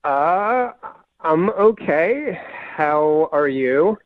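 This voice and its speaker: A man calling in to a radio show over the phone, talking casually in a hesitant, halting way. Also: a little high, lively intonation, dry recording. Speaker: phone-in caller. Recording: muffled radio phone line, dry.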